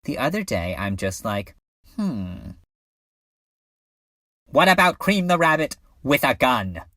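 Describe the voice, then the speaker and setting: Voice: medium pitch, big pitch swings, slow pace, fairly bright tone, no echo, clean studio sound, exaggerated voice. A woman voicing a laid-back cartoon cat creature in a comedic dub, with a casual, slangy manner. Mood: casual, playful.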